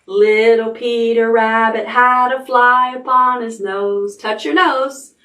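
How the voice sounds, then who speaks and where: A woman's voice: fairly high pitch, fairly even intonation, takes it slowly, sharp tone. An American woman leading storytime for toddlers, speaking in a sing-song read-aloud style with simple prompts for small children.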